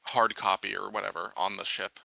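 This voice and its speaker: A man's voice: on the low side, little pitch movement, brisk, vocal fry, sharp tone, dry recording. A man playing a tabletop roleplaying game, casually and somewhat tentatively thinking his plan aloud.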